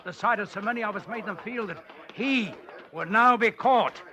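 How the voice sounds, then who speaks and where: An actor playing a brooding, suspicious London police sergeant, narrating a radio suspense drama in a deliberate, dramatic way. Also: male voice, high-pitched, natural voice. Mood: tense. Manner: deliberate, literary, dramatic delivery.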